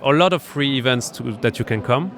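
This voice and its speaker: A man with a French accent, a startup accelerator founder being interviewed at a startup event, explaining his business in a halting way full of fillers and restarts. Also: mid-range voice, a bit bright. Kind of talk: conversational interview.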